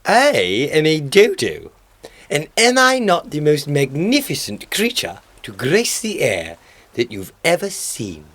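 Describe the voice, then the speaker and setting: A man's voice: fairly high pitch, huge pitch swings, slow, fairly bright tone, dry recording, full character voice. A man playing a boastful, self-important male dodo in a radio play, pompous and theatrical. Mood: vain, exultant.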